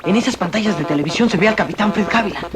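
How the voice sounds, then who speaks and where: An actor playing a small, eager, earnest boy sidekick in a radio drama, with breathless excitement. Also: mid-range voice, racing, close to an everyday voice. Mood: excited. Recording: radio serial, loud music behind.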